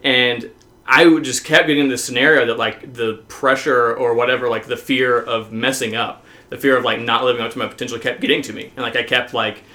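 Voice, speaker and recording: An American man from the South talking casually and openly about himself, haltingly, with "like" as a constant filler. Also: mid-range voice, steady tone, bright, forceful tone. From southern United States. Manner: halting, heavy use of "like" as filler; open, self-revealing. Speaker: podcast guest.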